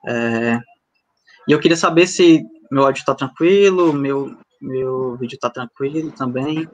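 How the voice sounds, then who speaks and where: A man of twenty, hosting a casual livestream chat in a halting, hesitant way. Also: mid-range voice, highs cut off, slight background noise. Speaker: youth livestream host.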